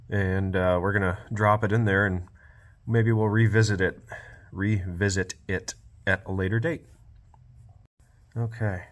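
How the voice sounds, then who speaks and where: Quiet, low-key man narrating repairs. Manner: quiet, low-key, self-described monotone. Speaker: small-engine repair vlogger. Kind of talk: casual workshop narration. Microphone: cell phone.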